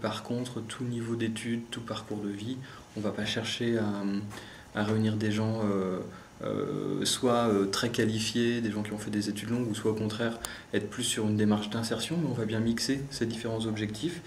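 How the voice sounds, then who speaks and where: A man explaining his organisation's work in an interview, speaking in a careful, hesitant, measured way. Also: on the low side, fairly even intonation. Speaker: youth organisation representative. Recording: roomy echo, faint background noise.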